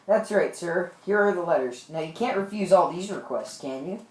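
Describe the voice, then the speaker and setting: A woman plays a genial announcer aboard ship in an amateur radio drama. The voice is on the low side for a woman, recorded in an echoey room. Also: expressive, normal speed, natural voice. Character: Mister Ball, genial male shipboard program host.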